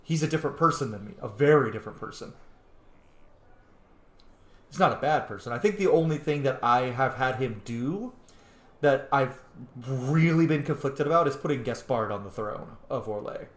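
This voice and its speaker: A man's voice: medium pitch, normal speed. Casual male gamer in an echoey room. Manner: reflective, thinking aloud, mimics opinions in quotes. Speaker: gamer, roleplay host. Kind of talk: casual let's play commentary. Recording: roomy, echoing room sound.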